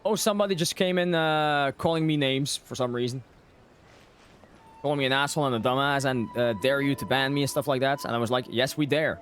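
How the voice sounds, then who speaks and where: A man's voice: medium pitch, fairly even intonation, brisk, a bit bright. A man streaming a game and chatting casually and wryly with viewers in short, informal reactions. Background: game music.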